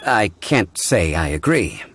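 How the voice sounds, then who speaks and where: An actor playing a composed, courteous scholarly gentleman in a video game, speaking formally and with measured politeness. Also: deep voice, very animated, slight background noise, full character voice. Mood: calmly disagreeing. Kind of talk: video game character acting.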